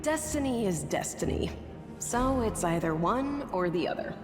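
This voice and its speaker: Woman acting gritty fantasy game companion, wry and stubborn, lively pitch swings, echoing hall with music. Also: natural voice. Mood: wry, resilient. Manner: colloquial, wry, unhurried. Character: gritty, plucky injured woman companion, dry-humoured and stubborn. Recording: echoey reverberant hall, music behind.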